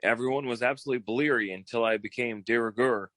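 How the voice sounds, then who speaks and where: An actor playing a cocky, boastful, theatrical private detective in a 1940s style radio comedy, pitching himself in a showy, wheedling way. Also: male voice, quick pace, a bit bright, no echo, close to an everyday voice. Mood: breezy, scheming. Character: cocky, theatrical fast-talking male private detective eager for partnership.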